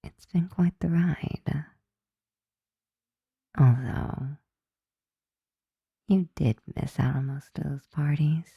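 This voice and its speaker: A woman playing a flirty, softly dominant, affectionate vampire roommate in an intimate ASMR roleplay, breathy and often whispering, teasing and tender. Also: low voice, dry recording, muffled recording, full character voice.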